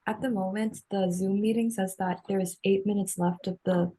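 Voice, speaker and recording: A woman's voice: medium pitch, fairly even intonation, normal speed, dark tone, rough recording. A woman interviewing a guest over a video call, asking questions politely and hesitantly. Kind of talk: oral history interview.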